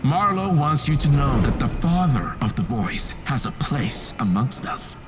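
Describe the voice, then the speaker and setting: Game villain: devout cult fanatic, unhurried, menacing, lilting delivery, music underneath. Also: male voice, mid-range voice, very animated. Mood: reverent menace. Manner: slow, reverent, preaching delivery. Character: fanatical male cultist, reverent, serene and menacing. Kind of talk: dramatic video game acting.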